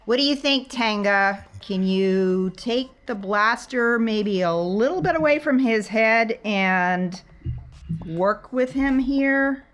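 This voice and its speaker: A woman's voice: fairly high pitch, slow. A woman speaking casually in character as a player in a tabletop role-playing game.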